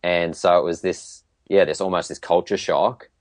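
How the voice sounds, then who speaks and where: A man's voice: deep voice, steady tone, normal speed, no room sound. An Australian man from Tasmania chatting casually as an interview guest, telling his story in a hesitant, reflective way. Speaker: podcast guest, PE teacher.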